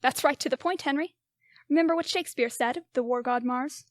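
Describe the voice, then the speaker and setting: A woman's voice: high-pitched, fairly quick, no echo, studio quality, close to an everyday voice. A teenage girl playing a grave, foreboding male scientist and father in an amateur radio play.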